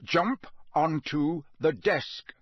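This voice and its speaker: A man voicing a gruff, commanding old wizard teacher in an audiobook, growling and barking out forceful orders. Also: fairly high pitch, extremely slow, dry recording, clean studio sound, voice pushed up, exaggerated voice. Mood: insistent.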